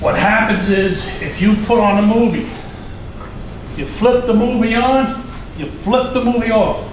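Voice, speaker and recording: A man's voice: high-pitched, steady tone. Impassioned New man, echoey hall. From United States. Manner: rambling, repeats himself, passionate and halting. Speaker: audience member asking a question. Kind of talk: emotional question from the floor at a symposium. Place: large conference hall. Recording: echoey hall from far off, noisy.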